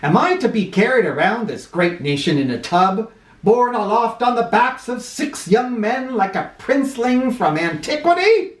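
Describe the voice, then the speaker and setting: Storybook president, male, stout and easily exasperated, played by a woman; animated swings, grandiose bellowing, roomy sound. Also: on the high side, normal speed, pitched above the performer's own voice. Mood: pompous, indignant. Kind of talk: children's storybook character voice. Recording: roomy, somewhat far from mic.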